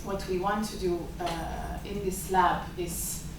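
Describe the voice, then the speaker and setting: A woman's voice: fairly low pitch, steady tone, unhurried. Italian-native woman host, hesitant delivery with fillers, recorded from afar in a noisy reverberant seminar room. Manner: hesitant, many filler sounds. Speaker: symposium host and lab director. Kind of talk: opening remarks at an academic event. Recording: echoey lecture room, mic far off.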